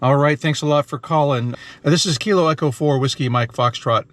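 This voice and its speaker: A man with a slightly high voice works an amateur radio from a car. He moves between casual vlog narration and clipped phonetic-alphabet calls. Clear background noise sits behind him. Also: brisk. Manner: spells out call signs and numbers in phonetic alphabet. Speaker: amateur radio operator and vlogger. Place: inside a car, mobile radio setup.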